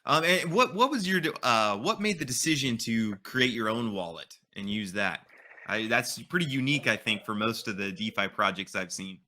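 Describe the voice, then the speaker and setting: A man's voice: on the low side, lively intonation, moderate pace, bright, forceful tone. A man hosting a livestreamed interview, asking casual, hesitant questions. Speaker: livestream host.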